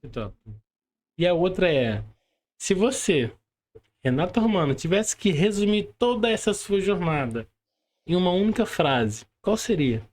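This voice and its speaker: Brazilian male podcast host, high voice, informal and conversational, checks in with little tag questions. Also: sing-song, unhurried, a little mellow. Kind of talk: casual interview chat with calls to subscribe. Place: remote video call.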